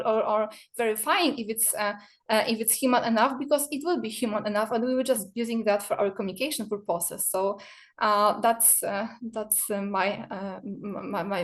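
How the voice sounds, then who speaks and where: Woman, higher voice, hesitant, lots of fillers, video call panel speaker. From Poland. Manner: hesitant, many fillers and restarts. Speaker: PR association board member. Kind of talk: panel discussion answer.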